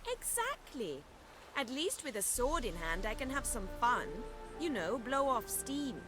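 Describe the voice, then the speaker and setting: A woman's voice: fairly high pitch, big pitch swings, measured pace, over music. An actress playing a spirited, imperious young noblewoman, a diplomat's daughter, in a video game. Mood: playful, candid. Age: young adult. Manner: imperious, playful, crisp commands. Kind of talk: video game character acting.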